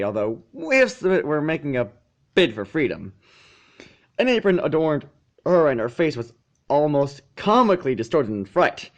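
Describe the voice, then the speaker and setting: A man reading a story aloud as an amateur narrator, sometimes stumbling and correcting himself. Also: medium pitch. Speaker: fan fiction reader.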